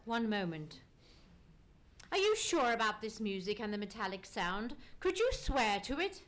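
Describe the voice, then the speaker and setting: A woman portrays a keen Victorian consulting detective in an old-time radio mystery. The voice is fairly high and highly animated. Questions come crisp and formal, with an air of probing authority. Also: normal speed, faint music behind. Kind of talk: live old-time radio drama acting. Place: theatre stage.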